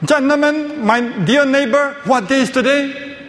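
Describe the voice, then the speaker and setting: A man's voice: very high-pitched, unhurried, a bit bright. A man voicing a curious, overly polite male neighbor with a formal, flowery way of talking, heard with long hall reverb.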